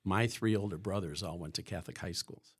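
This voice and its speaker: A man's voice: low-pitched, a little fast, slightly rough. A man from the Chicago area, a podcast guest from a school's staff, talking in a rambling way with many restarts. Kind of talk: conversational podcast interview.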